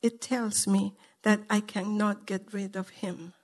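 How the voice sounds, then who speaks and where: A woman preacher who is not a native English speaker, delivering a sermon in short, emphatic, repeated phrases with pauses for an interpreter. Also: mid-range voice, fairly even intonation.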